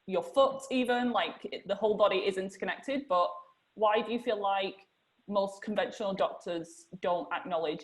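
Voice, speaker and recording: A woman's voice: mid-range voice, low-quality recording. A young woman hosting a podcast interview, chatty and using "like" a lot as she asks questions. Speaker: host.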